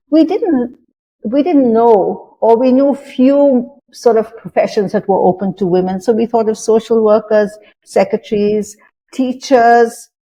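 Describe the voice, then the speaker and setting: A woman's voice: medium pitch, mellow, low-quality recording. A woman in her sixties from India, speaking as an interview guest in a reflective, thoughtful way with frequent fillers. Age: 60s. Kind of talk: interview conversation.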